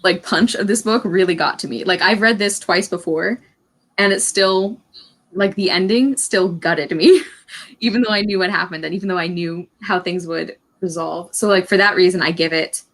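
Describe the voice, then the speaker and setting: A woman's voice: fairly low pitch, normal speed. A woman in a casual book discussion, giving her opinions as a panelist in an informal, conversational way.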